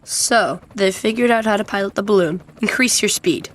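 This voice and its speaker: A woman's voice: mid-range voice, moderate pace, slight background noise, close to an everyday voice. A woman voicing a stern male police detective in a radio play, curt and commanding.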